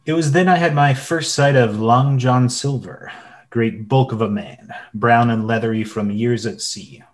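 A man's voice: mid-range voice, fairly even intonation, unhurried, natural voice. A man playing a narrator who recalls his boyhood sea adventure in a radio play. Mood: reflective, storytelling. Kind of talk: scripted narration.

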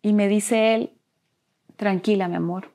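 A young adult woman from Colombia with a Colombian Spanish accent, telling her personal story as an interview guest in an earnest, halting way, repeating words and dropping to a near-whisper at heavy moments. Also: medium pitch, fairly even intonation, dark tone.

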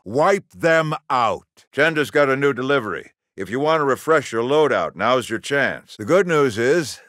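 A man plays a stern, middle-aged American army general briefing troops in a video game. His pitch moves widely as he hands out clipped, authoritative orders, and the sound is close and dry. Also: fairly bright tone, dry recording, natural voice. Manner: clipped, authoritative military delivery. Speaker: military commander.

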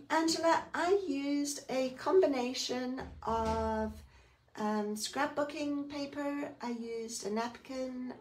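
Woman, slightly croaky, chatty live-stream host, distant mic, faint background hiss. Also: sits high. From South Africa. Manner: chatty, greets viewers by name, laughs often. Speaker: Bible journaling host. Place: indoors at a craft table.

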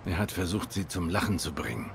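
An actor playing a middle-aged private detective in a noir game, questioning in a measured, dry manner. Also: male voice, low voice, animated, vocal fry, a little hiss or hum. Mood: dry, knowing. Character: cool, probing male cat private detective, middle-aged. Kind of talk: German game dub, noir dialogue.

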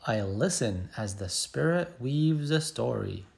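Storybook role: excited little schoolgirl, played by a man, expressive and animated, unhurried delivery. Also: medium pitch, gravelly. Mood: eager, upbeat. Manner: expressive, emphatic sound-effect words. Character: excited little schoolgirl telling her first day. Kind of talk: picture book read-aloud.

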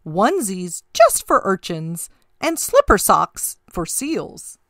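A woman's voice: medium pitch, wildly swooping pitch, slow pace, a bit bright, dry recording, clean studio sound, pitched below the performer's own voice, exaggerated voice. A woman voicing an eager, polite male seahorse shopkeeper with showy sales patter in a rhyming picture book. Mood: boastful, eager.

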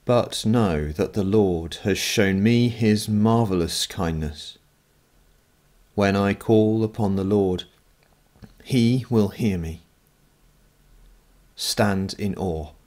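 A man speaks as a priest leading evening worship. His voice is on the low side and his delivery is very slow. He reads psalms and prayers solemnly, setting out each phrase in turn. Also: darkish tone. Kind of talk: liturgical prayer and scripture reading.

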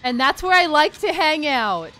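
A woman's voice: sits high, big pitch swings, moderate pace, pushed and bright. A woman streamer chatting casually and playfully while gaming, swearing freely. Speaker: game streamer.

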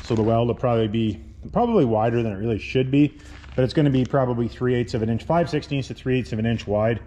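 A man's voice: mid-range voice, fast, warm tone, highs cut off. A man talking casually through a workshop repair, rambling and correcting himself as he goes. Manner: rambling, self-correcting, plain-spoken. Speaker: welder and channel host. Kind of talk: casual workshop how-to talk. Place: cluttered workshop garage.

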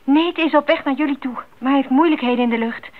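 A woman's voice: high-pitched, fast talker. An actress playing a caring, capable woman who runs a mission post radio in a radio drama. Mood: businesslike concern. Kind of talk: 1980s Dutch radio drama acting. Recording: narrow tinny radio sound.

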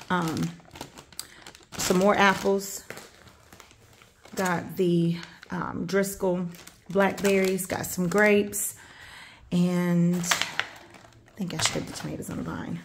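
A woman in her thirties casually listing grocery items at home, rambling with many pauses and fillers, filmed on a handheld phone. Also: fairly low pitch, creaky, noisy background. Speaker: vlogger showing a grocery haul. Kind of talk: casual show-and-tell narration. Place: home kitchen, indoors.

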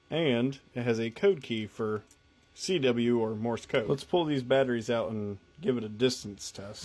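Man, mid-range voice, casual vlog reviewer, matter-of-fact, wry. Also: mid-range voice. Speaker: product reviewer.

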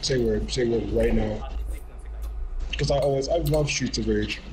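A man's voice: medium pitch, measured pace, crowd noise. A man giving casual, slangy live reaction commentary as a streamer. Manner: informal, slangy. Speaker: streamer reacting to a game showcase. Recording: game showcase audio playing behind. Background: video soundtrack with music.

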